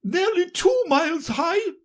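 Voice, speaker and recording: A storybook reader voicing an imperious, accusing queen with a shrill delivery. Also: expressive, a little slow.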